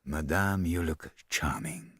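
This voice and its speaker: A man voicing a courteous, self-assured detective in an audiobook, formal and deliberate, with a French accent. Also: a bass voice, lively intonation, a bit bright, husky, no room sound, clean studio sound, voice pushed down, heavily acted. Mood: polite, dry.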